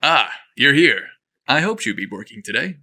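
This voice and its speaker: A man voicing a confident, charming male golfer who speaks in a relaxed, friendly and slightly flirtatious way. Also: mid-range voice, moderate pace, exaggerated voice.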